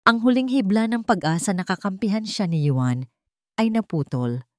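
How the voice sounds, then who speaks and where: Filipino lady reading a dramatic story, low pitch, solemn n measured, really dry close sound. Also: darkish tone, no room sound. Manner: measured, solemn, melodramatic delivery. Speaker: story narrator. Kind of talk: dramatic scripted narration.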